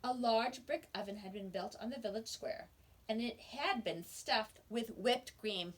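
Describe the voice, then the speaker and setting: A woman teacher reading a storybook aloud to young children, expressive and pausing to explain words. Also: fairly high pitch, slightly rough.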